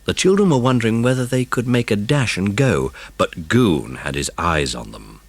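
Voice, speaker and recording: A man's voice: on the low side, sing-song, moderate pace, no room sound. A man narrating a dramatised children's storybook. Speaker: audiobook narrator.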